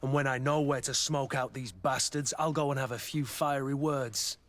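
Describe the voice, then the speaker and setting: An actor playing a gruff, loyal mercenary master of arms in a video game, brooding, plain-spoken and sardonic. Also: male voice, mid-range voice, fairly even intonation, moderate pace, natural voice. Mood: grimly determined. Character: gruff, battle-worn male mercenary master of arms, guilt-ridden, loyal. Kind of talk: video game dialogue acting.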